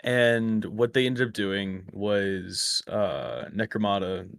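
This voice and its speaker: A man with a slightly raspy voice, rambling through a story in casual chat over a voice call. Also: fairly low pitch, takes it slowly, fairly bright tone, no echo. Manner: rambling, self-correcting storyteller. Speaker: stream guest. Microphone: close microphone.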